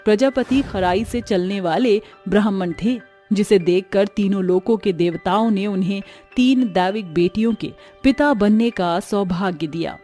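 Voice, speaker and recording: A woman's voice: mid-range voice, a little slow, hardly any pauses, warm tone, soft background music. A woman narrating a scripted cartoon story in a dramatic storytelling style.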